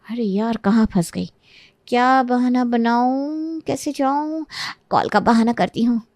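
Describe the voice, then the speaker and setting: A woman voicing a lazy, entitled young daughter-in-law with a breezy, dismissive manner in an animated moral story. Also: a little high, measured pace, dry recording. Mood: scheming.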